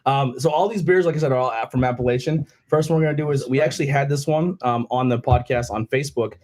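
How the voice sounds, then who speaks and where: Hoarse man hosting a kitchen podcast. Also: mid-range voice, fast talker, a little mellow. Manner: rambling, loose, many fillers. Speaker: podcast host. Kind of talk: casual chat. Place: home kitchen, indoors. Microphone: headset and desk mic.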